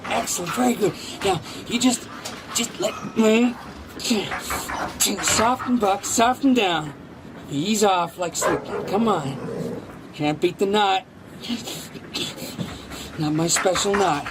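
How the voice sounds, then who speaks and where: An actor playing a sly gardener turned dog thief in a radio drama, coaxing and theatrical, repeating himself. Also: male voice, high voice, takes it slowly, pauses often, noisy background. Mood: sly, menacing.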